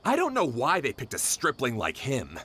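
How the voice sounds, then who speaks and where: A man's voice: mid-range voice, wildly swooping pitch, moderate pace, sharp tone, a little hiss or hum. A man voicing a deferential academic aide with a placating manner in a video game. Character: soothing, deferential younger male academic aide.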